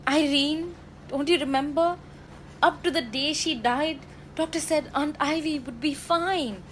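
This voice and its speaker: Teen girl playing a high, slow-talking sentimental factory gossip. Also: a little hiss or hum. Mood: hushed, earnest. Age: teenage high school student. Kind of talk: radio drama acting.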